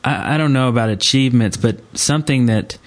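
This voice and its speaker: A man's voice: medium pitch, fairly quick. A man in casual podcast chat as a host, with an easygoing, slangy way of talking. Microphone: Skype call.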